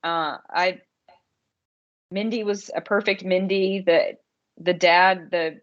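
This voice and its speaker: A woman with a lowish voice talks through her opinions in a loose, conversational podcast style. She is informal and slangy, working out her thoughts as she goes. The audio is a little dull and lo-fi. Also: fairly even intonation, slow. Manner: informal, slangy, thinking aloud. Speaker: podcast co-host reviewing a film. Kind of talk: casual conversational discussion.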